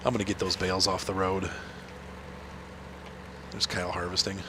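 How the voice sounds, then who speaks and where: A man's voice: sits low, little pitch movement, normal speed, background noise. Nasal man with a cold, chatty gamer. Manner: rambling, chatty, many fillers and restarts. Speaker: gaming channel host. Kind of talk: casual commentary while playing.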